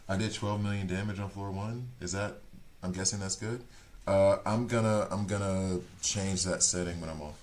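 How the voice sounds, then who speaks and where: Low-voiced man chatting on stream. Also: steady tone, normal speed, a little hiss or hum. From Switzerland. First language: not German. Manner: rambling, chatty, reads chat aloud. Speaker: gaming streamer. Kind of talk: casual live-stream chat.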